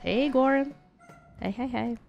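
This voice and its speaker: A woman's voice: high voice, unhurried, gravelly, highs cut off. A young woman giving casual live gaming commentary in a playful, slangy, chatty way. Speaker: streamer. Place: indoor streaming room. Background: horror game sounds.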